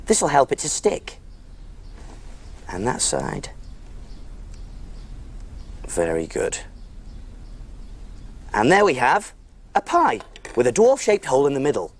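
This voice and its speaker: A man's voice: mid-range voice, wildly swooping pitch, slow pace, long pauses, a little hiss or hum, exaggerated voice. A man playing a friendly big cook who presents simple step-by-step cooking on a preschool show, in an encouraging way. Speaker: children's cookery presenter.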